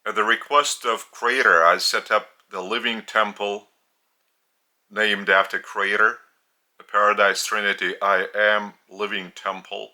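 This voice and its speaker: A man with a Lithuanian accent giving a spiritual teaching in a deliberate, halting way, with long pauses between phrases. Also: fairly low pitch, little pitch movement, pushed and bright.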